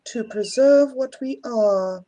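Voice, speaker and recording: An actress playing a dignified, resolute pacifist leader of an alien council in an audio drama, solemn and formal. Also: female voice, fairly high pitch, slow, warm tone, highs cut off. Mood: calm defiance.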